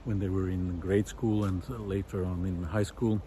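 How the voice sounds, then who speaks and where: A middle-aged man musing aloud in a reflective, hesitant monologue with frequent pauses, recorded while walking outdoors. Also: low-pitched, steady tone, dark tone. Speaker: visual artist and vlog host. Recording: outdoor ambient noise.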